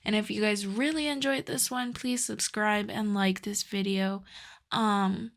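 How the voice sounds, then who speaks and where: A woman's voice: mid-range voice, fairly even intonation, unhurried. A woman, an ASMR channel host, chatting casually to her listeners.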